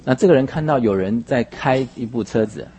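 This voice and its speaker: A man interpreting a sermon phrase by phrase, husky and somewhat halting, rephrasing as he goes. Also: mid-range voice, mellow, slight background noise.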